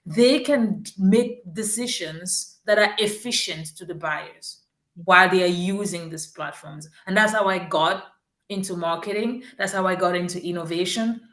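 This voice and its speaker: A woman's voice: on the low side, moderate pace, a bit bright. Earnest woman from Cameroon, echoey room. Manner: earnest, repeats and rephrases herself. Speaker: marketing executive guest. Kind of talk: interview answers.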